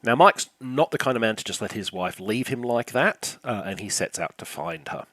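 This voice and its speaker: An older man with a slightly croaky, lowish voice delivers a scripted film review. His wit is dry and sarcastic, his sentences are peppered with "uh", and the recording is close and completely dry. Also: expressive, moderate pace, fairly bright tone, no echo.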